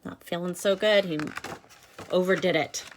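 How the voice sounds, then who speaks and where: A woman teacher reading aloud to children, with expressive emphasis and instructive explanatory asides. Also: lowish voice, normal speed, a little hiss or hum. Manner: instructive, checks in with listeners.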